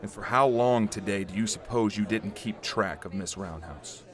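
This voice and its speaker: A man's voice: fairly low pitch, moderate pace, natural voice. A man playing a menacing police detective in a noir audio drama, questioning people in a curt, intimidating way.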